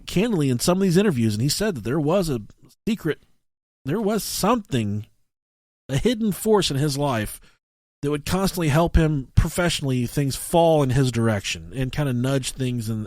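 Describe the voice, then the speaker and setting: A man co-hosting a podcast, telling stories casually and comically in a profane, halting way. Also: on the high side, darkish tone, no room sound, studio quality. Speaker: podcast co-host.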